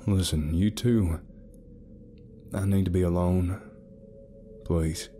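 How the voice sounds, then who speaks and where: A man's voice: low voice, fairly even intonation, slow pace, dark tone, a little hiss or hum. A man voicing a stern middle-aged father who barks orders. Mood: weary. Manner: barking and commanding.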